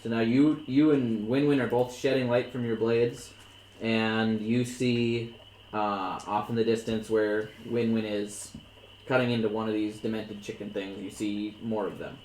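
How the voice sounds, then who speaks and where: A man narrating as dungeon master for friends at a table, relaxed and joking. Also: fairly low pitch, measured pace. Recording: echoey room, light background noise.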